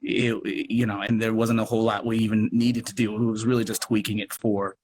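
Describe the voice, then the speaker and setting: A man chatting casually as an interview guest, expressive and thinking aloud as he explains his work. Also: fairly low pitch, brisk, a little hiss or hum. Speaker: radio play producer and guest. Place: remote live video chat.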